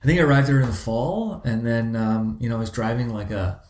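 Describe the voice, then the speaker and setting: A man's voice: mid-range voice, fairly quick, darkish tone, roomy sound. A man from Zimbabwe, a guest in an interview, talking casually and often restarting his sentences. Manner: hesitant, many restarts and fillers.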